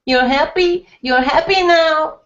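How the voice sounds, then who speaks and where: A woman doing a shrill frantic mother. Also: unhurried, muffled recording. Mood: indignant. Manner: wailing, exasperated. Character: frantic middle-aged mother, shrill and dramatic.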